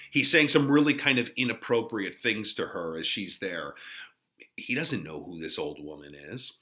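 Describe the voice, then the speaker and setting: A man's voice: fairly low pitch, sharp tone. A man teaching in an informal commentary, explaining in a conversational, emphatic way as an English teacher would.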